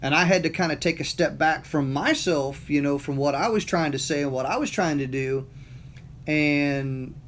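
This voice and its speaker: A man's voice: fairly high pitch, moderate pace, a bit bright, a little hiss or hum. A middle-aged man with a Southern US accent, talking casually and motivationally, rambling with many fillers and repeated words. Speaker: motivational talker. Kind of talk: casual monologue.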